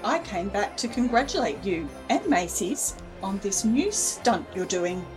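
A woman playing an excitable, talkative lady shopper in an old-time radio play. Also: mid-range voice, a little slow, music in the background, rough recording. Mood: gushing, grateful.

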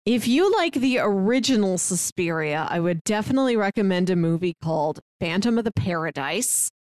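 A woman's voice: mid-range voice, lively intonation, normal speed, a little mellow, clear, resonant tone, no echo, studio quality. A woman co-hosting a movie podcast, talking in an easy, chatty way and now and then laughing mid-sentence. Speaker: podcast co-host. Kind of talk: casual movie review chat.

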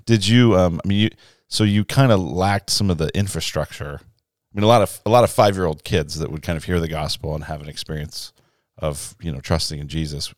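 Very deep-voiced male interviewer. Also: fairly quick, dry recording, clean studio sound. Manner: affirming, many short backchannels. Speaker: interviewer, host. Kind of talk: casual conversational interview.

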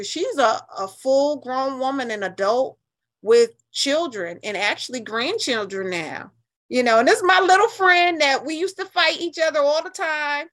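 Outspoken American woman with a higher-pitched voice. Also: a bit bright. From New Haven, Connecticut, US. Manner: outspoken, emphatic, colloquial. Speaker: interview guest. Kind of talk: casual conversational interview.

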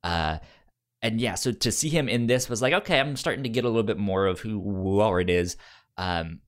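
A man co-hosting a casual book discussion, halting and often stammering over repeated syllables and words. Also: fairly low pitch. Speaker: podcast co-host discussing comics.

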